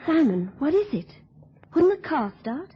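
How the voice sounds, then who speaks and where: A woman's voice: high-pitched, big pitch swings, measured pace, slight background noise. An actress playing an anxious, devoted woman in love, with emotional pleading in an old radio drama. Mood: urgent hope. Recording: dull, lo-fi old radio recording.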